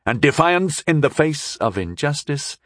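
Defiant knight-mage hero, read by a male narrator for fantasy audiobook. Cutting, lecturing, very animated, dry sound. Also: medium pitch, unhurried, no room sound. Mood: defiant challenge.

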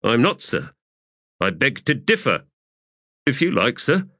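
A man's voice: medium pitch, sing-song, measured pace, pushed and bright, dry recording, clean studio sound, pitched above the performer's own voice, heavily acted. A man voicing a grizzled, stoic corporal who answers in terse, deferential audiobook lines. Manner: terse, respectful soldier's replies. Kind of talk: audiobook character acting.

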